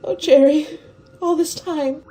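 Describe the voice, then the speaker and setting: A woman's voice: high voice, steady tone, takes it slowly, warm tone, soft background music. A woman playing a brave, tender young stowaway facing death in a radio drama, speaking in a wistful, hesitant, earnest way. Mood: resigned, tender.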